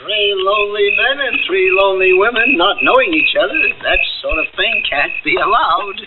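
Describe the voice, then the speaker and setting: A man's voice: sits high, normal speed, far from the mic, lightly acted. An actor playing a brash, sociable man in a radio drama, chatty and jaunty in manner. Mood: jovial. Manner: jaunty, chatty, hesitant over names. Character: Charlie, brash sociable man eager to meet women. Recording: noisy narrow-band radio recording.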